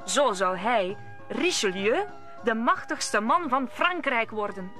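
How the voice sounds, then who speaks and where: A woman's voice: fairly high pitch, animated, takes it slowly, bright, forceful tone, faint music behind. A woman narrating a radio play in a scripted storybook style.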